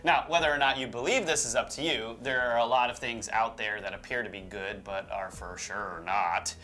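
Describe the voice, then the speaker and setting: A man's voice: mid-range voice, fairly even intonation, normal speed, bright, forceful tone. A man co-hosting a UFO show with informal, opinionated conversational commentary. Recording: music behind the voice. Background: background music.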